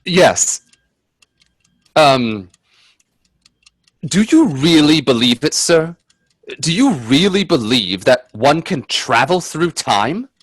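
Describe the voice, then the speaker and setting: Nervous polite gentleman, skeptical, stammers a lot, pitch all over, radio play, dry sound. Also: big pitch swings, takes it slowly, pauses often, slightly rough, no room sound, heavily acted. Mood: polite, tentative. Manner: stammering, nervous chuckles, deferential. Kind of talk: radio play acting with narration.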